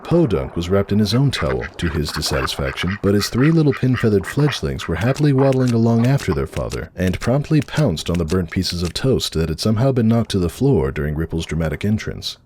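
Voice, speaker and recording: A man narrates a storybook tale in a low voice. His delivery is dramatic and swings widely in pitch, over clearly audible background ambience. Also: big pitch swings, a little fast, hardly any pauses, darkish tone, a little hiss or hum. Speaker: narrator of a fan fiction reading.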